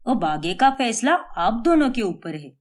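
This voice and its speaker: A woman voicing a middle-aged man, the father's cheerful friend, in an animated storybook, talking in a hearty, insistent way. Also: fairly high pitch, a little slow.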